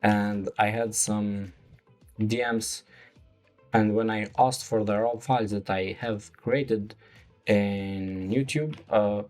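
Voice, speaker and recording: Low-voiced non-native man teaching software. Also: steady tone, faint music behind. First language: not English. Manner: slow, halting explanation with many fillers. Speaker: photo retouching tutor. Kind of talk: screen-recorded software tutorial.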